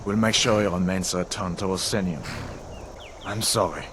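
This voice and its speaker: Man acting a dutiful male soldier, fairly low voice, measured, sympathetic, fantasy game, noisy background. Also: lightly acted. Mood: resigned, apologetic. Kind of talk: video game character acting. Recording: noisy outdoor game scene ambience.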